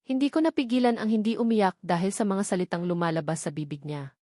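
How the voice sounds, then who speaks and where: A woman's voice: medium pitch, quick pace, mellow, no room sound. Filipino woman narrating, close and dry. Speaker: audiobook narrator. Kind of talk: scripted dramatic first-person story narration.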